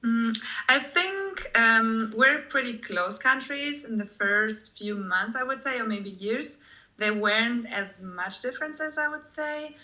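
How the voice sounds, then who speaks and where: A woman's voice: fairly high pitch, lively intonation, takes it slowly, bright, forceful tone. A woman from Germany, a native German speaker with a German accent, answering conversationally as a podcast guest.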